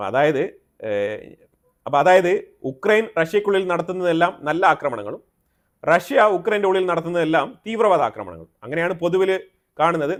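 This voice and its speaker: A man with a Malayali accent presenting explanatory news commentary, often rephrasing himself and mixing in English terms. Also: high voice.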